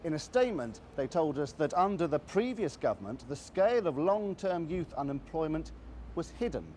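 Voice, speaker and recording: A man's voice: a little high, big pitch swings, normal speed, dark tone. Male TV reporter, background noise. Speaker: TV news reporter. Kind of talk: scripted news report narration.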